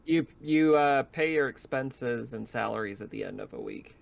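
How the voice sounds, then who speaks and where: A man's voice: mid-range voice, expressive, moderate pace, gravelly. Dull-sounding man running a casual game. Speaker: game master. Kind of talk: casual tabletop game chat. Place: online voice call. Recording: dull lo-fi voice chat.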